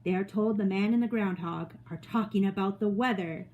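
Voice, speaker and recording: A woman teacher reading a story aloud to young children in an expressive way, with casual spoken asides. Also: mid-range voice, a little fast, lo-fi sound. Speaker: teacher reading story time to second graders.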